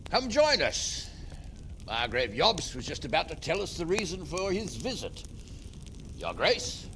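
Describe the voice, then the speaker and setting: A man's voice: on the high side, huge pitch swings, measured pace, fairly bright tone, a little hiss or hum, exaggerated voice. A man playing a blunt, wry, impatient medieval lord in a video game, with a sardonic manner. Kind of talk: dramatic video game acting.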